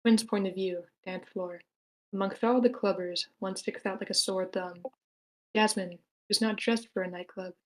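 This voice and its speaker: A woman's voice: mid-range voice, fairly even intonation, normal speed, slight background noise, rough recording. A woman reading stage directions in a matter-of-fact way at a screenplay table read.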